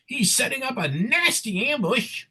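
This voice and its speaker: A man voicing an eager, excitable little elf henchman with a raspy voice in a comic book read. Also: extremely high pitch, measured pace, exaggerated voice. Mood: eager, conspiratorial. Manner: excitable, wisecracking. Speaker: performer in a dramatic comic book table read.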